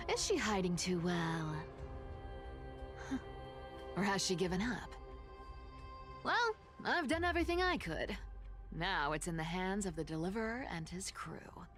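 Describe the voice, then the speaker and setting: An actress voicing a sly, playful female assassin in a video game, teasing and coolly menacing in a breathy, theatrical drawl. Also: medium pitch, sing-song, unhurried, long pauses, over music. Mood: curious, wry. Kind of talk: English game voice acting, theatrical monologue.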